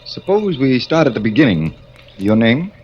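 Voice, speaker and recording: A man playing a measured, questioning doctor. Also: mid-range voice, sing-song, over music, close to an everyday voice. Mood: wary. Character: measured male doctor listening to a confession. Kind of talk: 1940s radio acting. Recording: dull lo-fi old radio recording with hiss.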